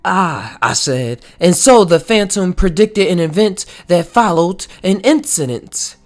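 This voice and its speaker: Slow, low-pitched woman reading aloud. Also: lively intonation. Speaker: book club reader. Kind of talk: reading a novel aloud as a read-along.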